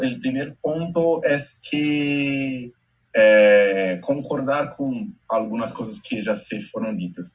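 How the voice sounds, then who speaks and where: A Brazilian man whose first language is Portuguese, speaking slowly and haltingly in Spanish mixed with Portuguese, giving a talk over a video call. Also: mid-range voice, poor audio. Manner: slow, careful, halting Portuguese-Spanish mix with many pauses. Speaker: invited speaker, educator.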